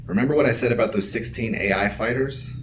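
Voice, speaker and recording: An actor playing a level-headed spaceship crewmate in a sci-fi audio drama, speaking in a precise, understated way. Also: male voice, mid-range voice, fast, background noise, natural voice. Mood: calm, dry.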